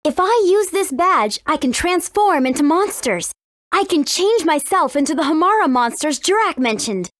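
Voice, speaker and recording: Earnest high-voiced teenage princess heroine. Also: female voice, very animated, moderate pace, a bit bright, no echo, studio quality. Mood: serious, explaining. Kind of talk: video game dialogue acting.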